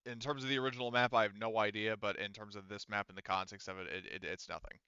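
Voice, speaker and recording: Man, game master, clear smooth voice, lowish pitch, informal explanations, tabletop roleplaying session. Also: steady tone, fast talker, sharp tone, dry recording, dull audio. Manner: informal, conversational, hedging explanations. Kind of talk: casual tabletop game narration.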